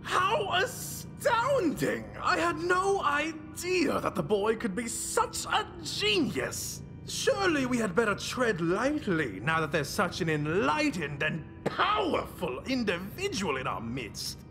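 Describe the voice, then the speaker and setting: A man's voice: very high voice, sing-song, slow pace, few pauses, exaggerated voice. A man voicing a pompous, sarcastic, seething villainous boss in a cartoonish game cutscene. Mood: mocking, seething. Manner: pompous, grandiose, mock-delighted. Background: music behind the voice.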